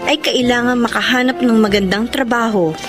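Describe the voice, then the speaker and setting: A woman's voice: a little high, steady tone, moderate pace, music in the background, close to an everyday voice. A woman playing an earnest, dutiful Filipino breadwinner daughter in her thirties, in a dramatic radio-style story. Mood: reflective. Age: 30s. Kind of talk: dramatic first-person narration and radio drama acting.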